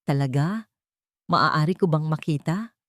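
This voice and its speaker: A woman voicing a sharp, menacing daughter-in-law in a storybook drama, cold and pressuring under a sugary tone. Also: sits low, a little slow, dry recording, studio quality. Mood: falsely sweet.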